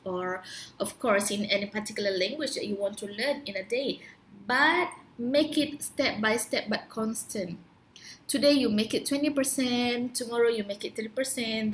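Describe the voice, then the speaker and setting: Young Malaysian-accented woman, English teacher, Malay first language; earnest instructive monologue, slightly high voice. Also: slight background noise, rough recording. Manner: earnest and instructive, with rhetorical questions. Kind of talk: prepared talk to camera.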